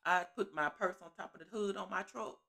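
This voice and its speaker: A middle-aged woman telling a story in her living room in a sassy, animated, preachy way, laughing often, into a webcam. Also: lowish voice, fairly quick, creaky. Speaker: storytelling vlogger. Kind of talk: casual storytime vlog. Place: living room at home, seated on a couch.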